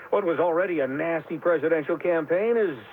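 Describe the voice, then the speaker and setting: A man's voice: fairly high pitch, fairly quick. A man anchoring a scripted radio newscast. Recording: tinny, faintly noisy AM radio.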